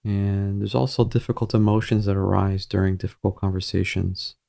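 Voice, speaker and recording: Low, creaky-voiced male meditation guide. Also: monotone. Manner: gentle, soothing, measured with pauses.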